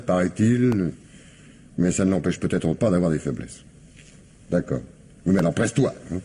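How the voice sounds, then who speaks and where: An actor playing a gruff, impatient police investigator in a French radio mystery, brusque and grumbling, with hesitations. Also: male voice, deep voice, darkish tone, a little hiss or hum. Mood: exasperated.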